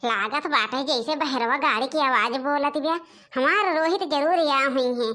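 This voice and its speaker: A woman's voice: sits high, moderate pace, pushed and bright. A woman with an Awadhi accent voicing a sneaky young village bride who talks to herself in a cartoon comedy. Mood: impatient, scheming. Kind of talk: animated comedy monologue in cartoon.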